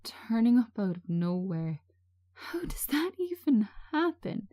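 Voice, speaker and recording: An anguished, bitter woman, acted by a female reader in an audio drama. Her voice rises high and swings about as she pleads and accuses, distraught and wounded. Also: big pitch swings, measured pace, mellow. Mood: bewildered despair. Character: traumatised woman, distraught, bitter, drinking alone. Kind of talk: dramatic screenplay reading.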